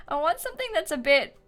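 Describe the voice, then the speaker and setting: A woman's voice: high-pitched, sing-song, normal speed, a bit bright. A young woman live game streamer chatting casually and informally with her viewers, with a giggly manner. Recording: music playing behind. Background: music, typing.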